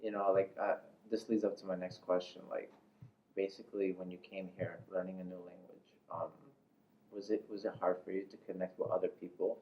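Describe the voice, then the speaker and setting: Guy doing a casual interview, low flat voice, rambles a bit, kinda muffled room. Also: brisk, distant mic. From United States. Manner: informal, rambling, repeats filler phrases. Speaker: interviewer, host. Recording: echoey room, muffled, faint noise.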